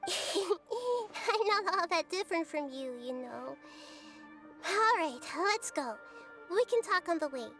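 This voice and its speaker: Casting: a tiny, wise child goddess, very high, lively, soft-spoken, over game music. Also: female voice, takes it slowly, heavily acted. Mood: thoughtful. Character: small childlike goddess, gentle, curious and wise. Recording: game audio with background music.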